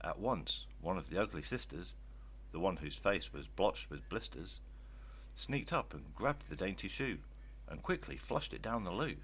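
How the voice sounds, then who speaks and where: An older man reading rhyming children's stories aloud in an expressive, theatrical style. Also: low-pitched, normal speed, a little hiss or hum. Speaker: children's story reader.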